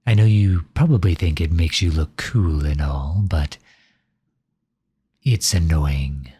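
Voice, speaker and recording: Male, actor in character, grumpy tsundere for ASMR roleplay: low, husky, unhurried, sarcastic and exasperated. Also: mellow, heavily acted. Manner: sarcastic, flustered, grudging.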